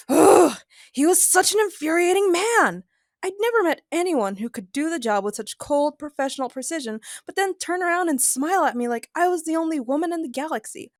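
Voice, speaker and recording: A woman's voice: very high voice, animated, normal speed, dry recording, studio quality. A woman playing a frank, feisty, worried female adventurer in an audio drama, speaking candidly and with emphasis. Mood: exasperated, fond. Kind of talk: first-person narration and dialogue in an audio drama.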